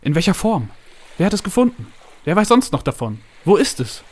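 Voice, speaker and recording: Man acting a pompous, bossy company boss, pitched up. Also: animated, brisk, close to an everyday voice. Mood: eager, demanding. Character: greedy, bombastic male trading company boss. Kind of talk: dramatic audiobook storytelling.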